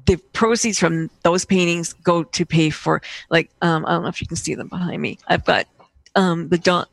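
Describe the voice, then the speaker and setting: A woman's voice: fairly low pitch, steady tone, moderate pace, creaky, no room sound. A woman from Austria, a guest on an interview show, tells stories casually and animatedly, acting out the dialogue as she goes. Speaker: guest, painter and coach.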